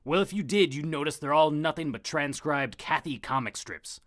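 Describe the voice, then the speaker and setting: A man playing an indignant, sarcastic reporter husband in a comedic audio drama, melodramatic and quick to wisecrack. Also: a little high, animated, a bit bright, no echo, clean studio sound. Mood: defensive, bitter.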